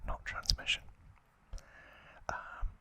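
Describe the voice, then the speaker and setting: A young man whispers very slowly for ASMR, right up at the microphone. His hushed voice is crisp and bright, with each short phrase set carefully apart. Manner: hushed, slow, deliberate delivery in short separated phrases. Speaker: host of an ASMR review series. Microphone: close ASMR microphone.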